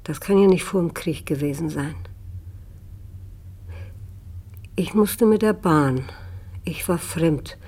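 A woman's voice: low-pitched, measured pace, dark tone, breathy edge. An older woman in a radio drama playing a kindly old aunt who reminisces in a homely, rambling, self-questioning way. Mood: searching memory.

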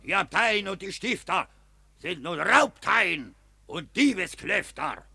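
An actor playing a fiery Capuchin friar who thunders rhymed sermons at a crowd of soldiers in a radio play. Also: male voice, sits high, bright, forceful tone, dry recording, heavily acted. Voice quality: rasping, thundering rhymed preaching. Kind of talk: stage verse radio play.

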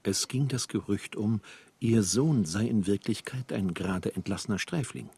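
A man's voice: lowish voice, normal speed, vocal fry, dark tone, husky, full character voice. An actor playing a subdued male narrator who reports rumours in a radio play.

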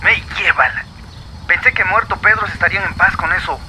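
Irritable male schemer, high piercing voice, bossy, radio drama, slight background noise. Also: a little fast, pushed and bright, low-quality recording. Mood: irritated, scheming. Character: irritable, scheming village strongman plotting against opponents.

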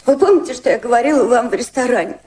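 An actress in a radio drama playing a frightened, ashamed society woman, pleading in a hushed, dramatic way. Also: on the high side, lively intonation, fast, close to an everyday voice, rough recording. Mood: tearful, pleading.

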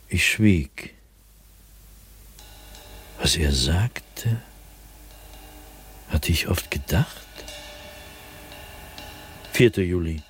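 An actor playing a tormented man sliding into madness, recounting his nightmares as a diary in a radio drama, in a brooding, halting manner that swings between hushed and agitated. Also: low-pitched, slightly rough, exaggerated voice. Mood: haunted, agitated. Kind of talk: dramatic radio play monologue.

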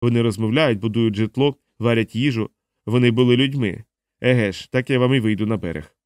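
A man's voice: medium pitch, fairly quick, darkish tone, clean tone, dry recording, studio quality, lightly acted. Audiobook part: cornered castaway man, read by a male narrator, mid-range voice, bitter, accusing, defiant. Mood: sardonic, wary. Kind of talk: audiobook character dialogue.

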